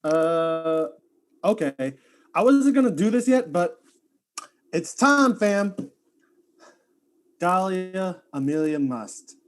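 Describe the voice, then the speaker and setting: A woman's voice: on the low side, expressive, takes it slowly, long pauses. A woman playing a shameless livestreaming influencer in a comic mystery, slangy and self-absorbed. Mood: eager.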